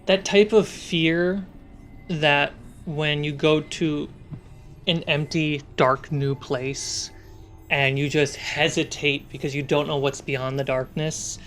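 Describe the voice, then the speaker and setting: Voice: mid-range voice, steady tone, fairly bright tone, faint music behind. A game master narrating a tabletop fantasy game in short, suspenseful, dramatic phrases. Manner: slow, suspenseful scene-setting with short phrases.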